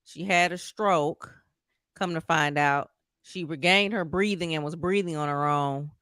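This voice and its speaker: Bright, clear-voiced woman co-host with sassy comebacks. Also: sits low, measured pace, no echo, clean studio sound. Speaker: podcast co-host. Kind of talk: casual chat.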